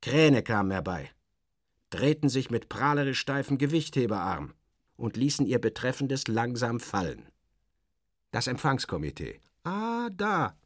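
A man's voice: medium pitch, dry recording, clean studio sound. An actor playing a sardonic, self-assured journalist, narrating his travels in a literary radio drama with wry, theatrical, clipped phrasing. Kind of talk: literary first-person narration, dramatic audio reading.

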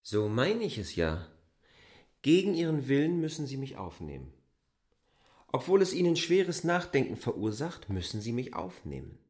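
An actor playing a stubborn, argumentative land surveyor in a literary radio drama, speaking insistently and provocatively. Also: male voice, mid-range voice, wildly swooping pitch, normal speed, a little mellow. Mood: defiant, arguing.

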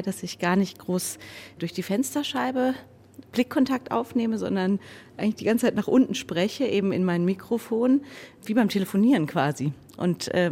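A woman's voice: medium pitch, mellow. A woman reflecting casually on her own work as a radio drama director in an interview. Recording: background noise and ambience.

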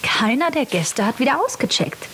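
A woman's voice: high voice, very animated, normal speed. An actress playing a cheeky, curious girl in a children's audio play, heard from a worn cassette. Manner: playful and inquisitive. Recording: hissy old cassette.

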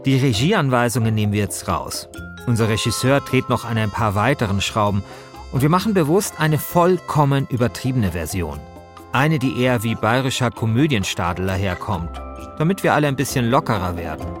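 A man's voice: mid-range voice, lively intonation, brisk, music in the background. A man hosting a podcast with scripted, conversational narration. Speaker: podcast host and co-author.

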